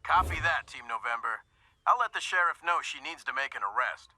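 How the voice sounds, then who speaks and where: An actor playing a brisk male radio dispatcher in a video game who speaks in clipped radio procedure over a radio transmission. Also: mid-range voice, lively intonation, bright, forceful tone, slight background noise. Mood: businesslike.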